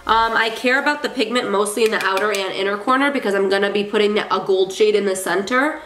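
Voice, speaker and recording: A woman's voice: medium pitch, fast. A young woman with a cold, sounding congested and nasal, chatting about her makeup in a gushing, casual way. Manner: chatty and gushing, with filler ums. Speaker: makeup artist and beauty vlogger. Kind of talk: casual makeup tutorial chat. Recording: echoey room with faint music.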